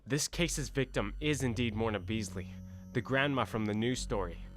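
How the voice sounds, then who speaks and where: A man's voice: medium pitch, moderate pace, close to an everyday voice. A man acting an earnest, combative male defense lawyer in an audio drama, pressing and accusatory. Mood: accusing. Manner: forceful, accusatory.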